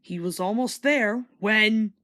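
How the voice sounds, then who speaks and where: A woman reading a children's story aloud as an expressive, scripted read-along narrator. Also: medium pitch, takes it slowly.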